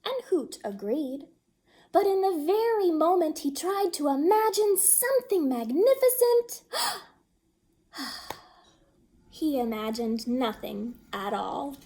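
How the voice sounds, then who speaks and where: A woman reading a children's picture book aloud, expressive and sometimes hushed. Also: sits high. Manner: slow, expressive and hushed at times. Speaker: storytime reader.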